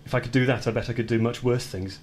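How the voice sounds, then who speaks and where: An actor playing a brooding man who confesses violent fantasies of retribution in a deliberate, intense radio play monologue. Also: mid-range voice, fairly even intonation, darkish tone, close to an everyday voice. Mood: grim, vengeful.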